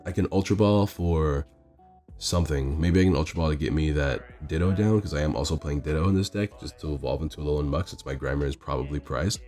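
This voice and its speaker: Hoarse man, very deep voice, quick self-correcting game commentary on a phone mic in a store. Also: a little mellow, soft background music. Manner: rambling, self-correcting strategy talk. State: hoarse, losing his voice from illness. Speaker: card game player commentating his match. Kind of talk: casual play-by-play commentary.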